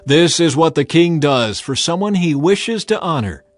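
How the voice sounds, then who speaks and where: A man's voice: fairly high pitch, lively intonation, measured pace, no room sound, soft background music, pitched above the performer's own voice. A man voicing a vain, scheming royal official in a grandiose, emphatic manner for a dramatized audiobook. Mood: proclaiming. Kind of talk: dramatized audiobook character acting.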